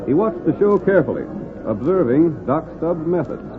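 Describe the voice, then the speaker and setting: A man's voice: fairly high pitch, very animated, normal speed, narrow, tinny audio. An American man narrating a western radio drama in a grand, emphatic, theatrical 1940s announcing style. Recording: scratchy band-limited old radio broadcast with music.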